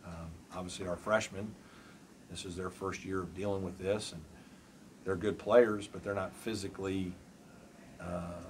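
A man in his forties, a college basketball coach, answering questions at a postgame press conference in a measured, thoughtful way with many fillers. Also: deep voice, steady tone, a little mellow, a little hiss or hum. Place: press room before a backdrop. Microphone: table mic on a stand.

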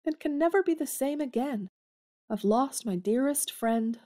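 A blunt, tomboyish young woman in a radio play. Her mellow voice rises and falls freely. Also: high-pitched, lively intonation, a little slow. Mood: melancholy.

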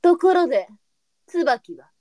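A woman's voice: extremely high pitch, big pitch swings, takes it slowly, a little mellow, dry recording, full character voice. A woman playing a pragmatic, brusque brothel mistress in a live period voice drama, with a blunt and knowing way of talking. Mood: grave. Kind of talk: online live voice drama acting.

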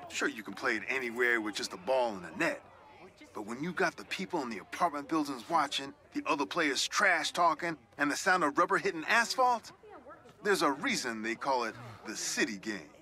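An actor playing a proud, warm newspaperman of mature years, folksy and wry, in a video game. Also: mid-range voice, lively intonation, moderate pace. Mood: fond, proud. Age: middle-aged. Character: warm, proud older newspaperman who loves his city. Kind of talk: video game voice acting, spoken commentary.

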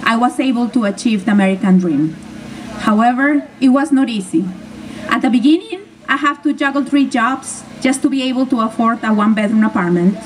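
A woman's voice: fairly high pitch, a little fast, a little hiss or hum. Peruvian-born woman speaking at an outdoor rally. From Peru. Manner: earnest, emphatic speech. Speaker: political candidate. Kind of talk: rally speech. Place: outdoor stage in a park. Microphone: podium mic recorded from a distant camera. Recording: distant outdoor PA sound.

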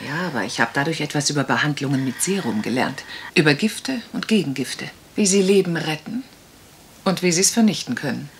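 An actress playing a shrewd, determined young woman detective in a radio crime drama, with deliberate, insistent delivery. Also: low-pitched, lively intonation, slight background noise. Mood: calm, purposeful. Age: young adult.

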